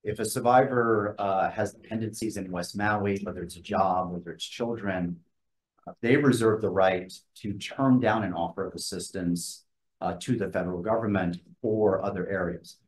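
A man speaking as an emergency management official at a hearing, formal and halting, with frequent fillers. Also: deep voice, rough recording. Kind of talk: testimony at a legislative hearing. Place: hearing room.

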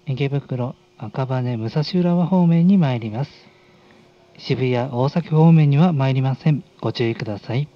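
A man making formal, unhurried announcements as a train conductor. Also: medium pitch, no echo. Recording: dull recording over train speakers.

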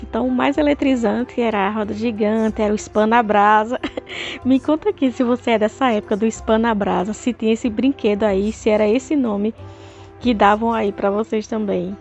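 A chatty woman vlogger talks straight to her viewers in a slightly raised, somewhat hoarse voice. She records on a phone held at arm's length in an outdoor park at night. Soft music plays in the background. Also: a little high, highs cut off. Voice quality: hoarse at times. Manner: chatty, addresses viewers directly. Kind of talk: casual vlog tour narration. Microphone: phone camera at arm's length.

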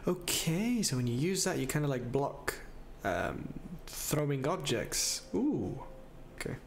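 Young male gamer, higher-than-average voice, slow delivery, casual slangy commentary, noisy home setup. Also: very animated. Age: young adult. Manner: slangy, muttered reactions with exclamations. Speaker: gaming video host. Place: small indoor room at home.

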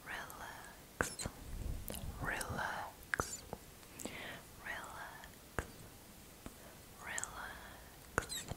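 A young woman whispers her way through a gentle ASMR roleplay. Her delivery is extremely slow and soothing, with softly repeated words, recorded close to the mic. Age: young adult. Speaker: ASMR host. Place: small indoor room.

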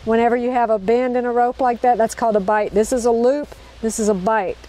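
A woman's voice: on the high side, steady tone, fairly quick, dark tone. Upbeat woman teaching knots outdoors. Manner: upbeat, repeats each step, checks in with "okay?". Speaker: knot-tying instructor. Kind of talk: hands-on outdoor demonstration. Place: outdoors in a park.

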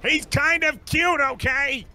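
A man's voice: very high voice, fairly even intonation, slow, crowd noise. A man voicing a flippant, cowardly oddball in an improvised comic game fan dub. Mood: sheepish.

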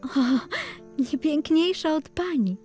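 Meek storybook heroine, voiced by a woman, youthful, high-pitched, earnest, over music. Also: animated, takes it slowly, darkish tone. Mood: modest, grateful. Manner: earnest, girlish.

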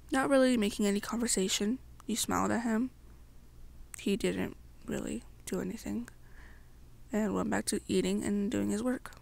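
A young woman narrating an ASMR story to the listener in a halting way, pausing between short phrases. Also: on the high side, vocal fry, a little mellow. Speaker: amateur roleplay narrator.

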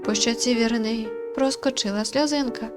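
A woman's voice: on the high side, fairly even intonation, moderate pace, loud music behind the voice. A woman reading a visual novel aloud as a let's player, with informal, playful commentary. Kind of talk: scripted narration with casual gaming commentary.

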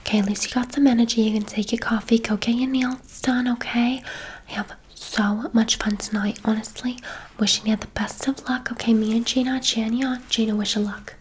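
A woman's voice: a little high, steady tone, brisk, slight background noise, natural voice. A woman playing a gushing, affectionate, gossipy hairdresser in an ASMR roleplay, chatty and full of endearments. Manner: effusive, chatty, uses endearments.

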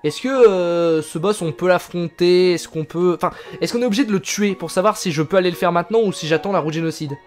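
A man's voice: high-pitched, quick pace, hardly any pauses, soft background music. A young man in his twenties chatting casually while playing a game on stream, reading text aloud and answering chat. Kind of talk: casual live gameplay commentary. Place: indoors, gaming chair at home.

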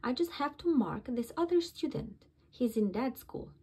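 Woman playing a patient, lively-voiced mother dog. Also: sits high, normal speed, breathy edge. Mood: knowing.

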